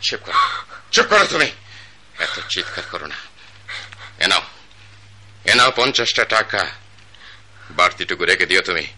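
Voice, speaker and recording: A man's voice: deep voice, big pitch swings, normal speed, long pauses, bright, forceful tone, full character voice. A stern rich father. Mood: scornful. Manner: imperious, scolding. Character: stern wealthy middle-aged father, master of house. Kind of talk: radio drama acting.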